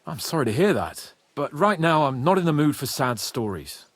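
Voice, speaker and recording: Husky, proud young medieval lord. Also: male voice, on the high side, moderate pace, no echo. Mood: earnest. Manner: haughty, swearing, expressive. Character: proud, hot-headed young nobleman in medieval Bohemia. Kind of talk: video game cutscene acting.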